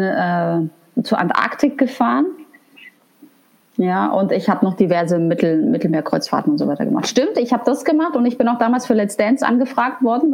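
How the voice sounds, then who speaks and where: A woman's voice: mid-range voice, darkish tone. Chatty middle-aged woman from Poland. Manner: candid, chatty, many fillers and restarts. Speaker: guest, head of a transit authority. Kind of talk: casual interview talk.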